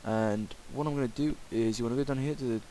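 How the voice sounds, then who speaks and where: A man giving a casual, improvised software tutorial in a hesitant, rambling way. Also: medium pitch, fast, low-quality recording. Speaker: tutorial presenter. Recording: hissy home microphone recording.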